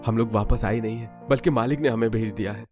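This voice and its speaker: A man's voice: lowish voice, steady tone, a little fast, a little mellow, faint music behind, natural voice. A man voicing an earnest, worried young working man from a poor family in a cartoon.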